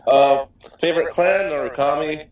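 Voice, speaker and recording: A twenty-year-old man with a slightly higher voice makes blunt jokes in a casual group chat. He sits far from the mic in a very echoey room, and the sound is dull. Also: normal speed. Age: 20s.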